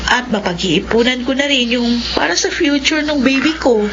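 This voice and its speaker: A high-voiced, earnest young mother in a radio drama. She speaks respectfully to her elders. The recording is dull, with clear noise behind her. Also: moderate pace. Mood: relieved, hopeful. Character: Cherry, grateful young single mother working at a call center. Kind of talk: Filipino radio drama acting.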